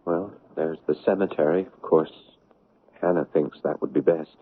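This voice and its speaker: An actor playing a grief-stricken, bitter son in a 1970s radio drama, halting and anguished as he repeats himself. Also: extremely low pitch, fairly even intonation, husky, full character voice. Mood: subdued grief. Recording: muffled, noisy 1970s radio broadcast.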